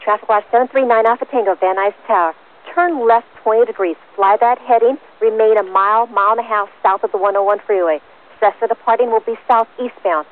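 A woman's voice: fairly high pitch, brisk. A woman working as a tower controller, giving clipped, procedural instructions to pilots over aviation radio. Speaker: air traffic tower controller. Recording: tinny, narrowband aircraft radio transmission.

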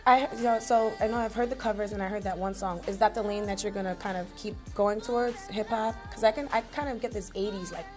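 A woman's voice: mid-range voice, fairly even intonation, fast, few pauses, music in the background. A woman in her late twenties hosting an interview, chatty and teasing in a casual way. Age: 20s. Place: small studio with branded backdrop.

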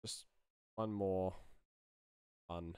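A man's voice: deep voice, steady tone, extremely slow, very noisy. A man in his thirties giving casual sound design commentary, musing aloud as he works. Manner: musing, thinking aloud while working. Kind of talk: casual screen-share commentary.

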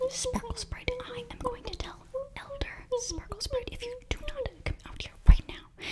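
A young woman whispering very close to the mic in an ASMR fantasy roleplay, halting, flustered and apologetic as a student sorceress. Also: extremely high pitch. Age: young adult. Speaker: ASMR performer. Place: small indoor bookshelf set. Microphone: very close ear-to-ear microphone.

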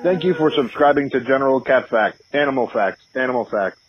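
A man playing a stubborn prank caller who recites absurd facts in a deadpan announcer manner over a lo-fi phone-in line. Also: medium pitch, brisk, breathy edge, lightly acted. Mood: deadpan, insistent.

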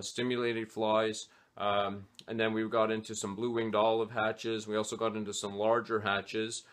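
A man in his forties talking casually to a webcam in a small home office, pausing often with "uh" between phrases as he explains his fishing gear. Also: lowish voice, fairly bright tone. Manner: hesitant, many "uh" fillers. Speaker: fly fishing vlogger. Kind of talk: casual gear talk to camera.

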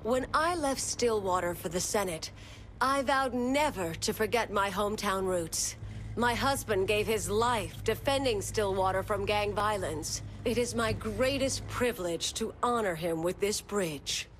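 An actress playing a senator giving a formal, solemn public speech in a video game. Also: female voice, mid-range voice, a little slow, a bit bright, slight background noise, lightly acted, rough recording.

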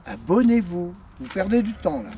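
A man in his fifties talks in a relaxed, meandering way, often trailing off. His voice sits slightly high and comes from a little away from the camera. Steady road and vehicle noise runs underneath. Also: moderate pace. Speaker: vlogger. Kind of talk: casual travel chat. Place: camper van cab and roadside outdoors. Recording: distant mic with loud road and engine noise.

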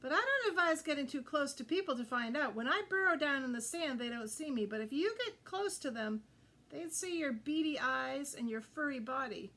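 A woman voicing a kindly, cautious old female sea turtle teacher in a storybook, measured and advising. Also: sits high, expressive, sharp tone, heavily acted. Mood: cautioning. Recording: faint background noise.

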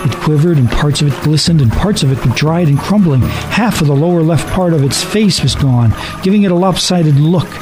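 A man's voice: on the high side, lively intonation, normal speed, hardly any pauses, over music, rough recording. A man reading a scripted story as a dramatic narrator.

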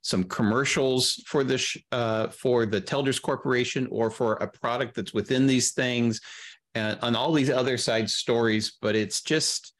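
A man's voice: medium pitch, fairly even intonation, unhurried, a bit bright. A middle-aged man talking casually as an interview guest over a video call. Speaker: guest, audio drama creator. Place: indoor room on a video call.

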